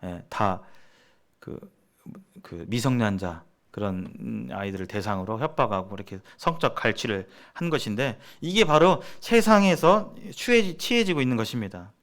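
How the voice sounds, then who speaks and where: Man preaching with very animated pitch swings. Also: moderate pace. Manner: emphatic, repeats phrases for stress. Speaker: preacher leading a church seminar. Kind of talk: sermon-like seminar lecture.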